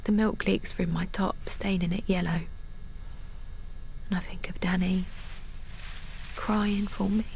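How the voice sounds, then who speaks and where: A woman's voice: medium pitch, fairly even intonation, a little slow, vocal fry, long pauses, a little mellow, slight background noise. A woman playing an anxious, naive young mother in an intimate audio drama. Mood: hushed, aching. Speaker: actor and narrator in an audio drama. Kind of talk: intimate first-person audio drama acting.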